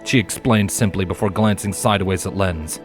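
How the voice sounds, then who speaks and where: A man's voice: deep voice, moderate pace. A man reading scripted story narration as the narrator of an audiobook. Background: soft music.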